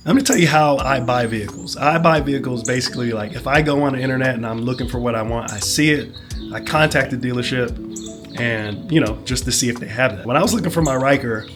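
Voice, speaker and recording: Dude, kinda hoarse from being sick, rambling story w lots of "you know", music playing under him. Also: male voice, mid-range voice, quick pace. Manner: rambling, full of fillers like "whatnot" and "you know". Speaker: motorcycle vlogger. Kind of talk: casual storytelling rant.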